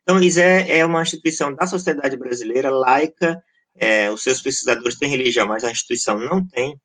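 A middle-aged Brazilian man speaks at an ordinary pitch for a man. He answers interview questions earnestly and passionately, building emphatic lists and often restarting his phrases. He talks as both a pastor and a social scientist. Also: fast, fairly bright tone. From Brazil, born in Maranhão and raised in Goiás.

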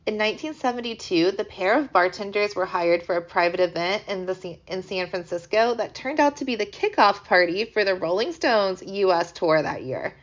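A woman in her thirties from the American Midwest hosting a podcast in casual chat, chatty and animated, her voice hoarse from a sinus infection. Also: mid-range voice, normal speed, few pauses. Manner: chatty, animated, laughs easily. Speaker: podcast host. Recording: slightly dull lo-fi recording.

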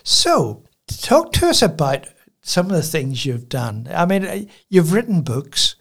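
A man hosting a podcast interview, chatty and quick to agree with his guest. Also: fairly high pitch, very animated, vocal fry, fairly bright tone. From not Australian, moved to Australia. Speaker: podcast host. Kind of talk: casual interview.

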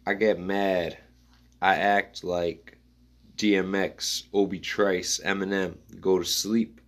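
A man musing aloud in a rambling spoken-word style, reading his own notes in halting fragments. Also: deep voice, level tone, fairly bright tone.

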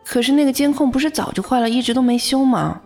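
A woman's voice: high-pitched, quick pace, a little mellow. An actress playing a modest young female office worker in an audio drama, in first-person narration and dialogue. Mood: puzzled. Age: young adult. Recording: music bed behind the voice.

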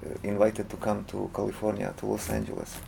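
A man's voice: lowish voice, completely flat delivery, fairly quick, vocal fry, mellow, a little hiss or hum. A man from Croatia, speaking English as an in a hesitant interview, full of fillers and restarts. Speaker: interview guest, monk. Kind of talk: casual interview talk.

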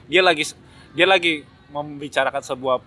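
A man's voice: fairly high pitch, normal speed, pushed and bright, breathy edge. A man in a casual, opinionated discussion, speaking emphatically and critically and repeating phrases to drive points home. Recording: noticeable background noise.

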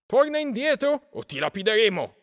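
A hostile crowd of superstitious villagers from a storybook. The cries are very high, thin and strained, drawn out into jeers and accusations. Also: male voice, big pitch swings, normal speed, fairly bright tone, in a large hall, full character voice. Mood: hostile, threatening. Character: angry mob of superstitious villagers, shouting accusations.

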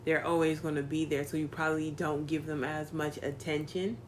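A woman's voice: sits low, little pitch movement, fairly quick, slight background noise. A woman in her thirties in casual chat, playful and teasing with slang.

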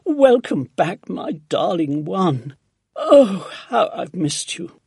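A man voicing a sweet, gentle, excitable woman from a storybook who dotes on her pet, in a gushing, pleading manner. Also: sits high, normal speed, creaky, breathy edge, dry recording, clean studio sound, voice pushed up, exaggerated voice. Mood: joyful, doting. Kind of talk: storybook character voice.

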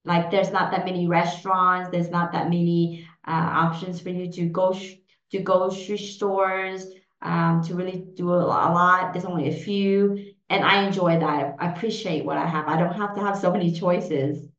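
A woman's voice: on the low side, steady tone, moderate pace. A woman in her forties from Vietnam, a native Vietnamese speaker, answering interview questions hesitantly with many fillers and restarts. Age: 40s. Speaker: podcast guest. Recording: roomy, dull lo-fi sound.

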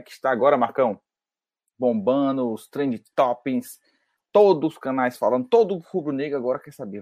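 A man's voice: mid-range voice, dry recording, studio quality. A man with a Brazilian Portuguese accent hosting a casual podcast, joking and bantering with his co-hosts. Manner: lively, jokey presenter banter. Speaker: podcast host.

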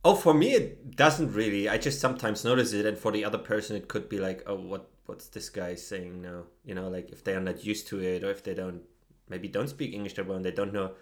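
Low-voiced man chatting casually. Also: fairly quick. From Germany. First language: German. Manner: relaxed, peppers speech with "you know" and "like". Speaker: podcast co-host. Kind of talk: casual conversational podcast.

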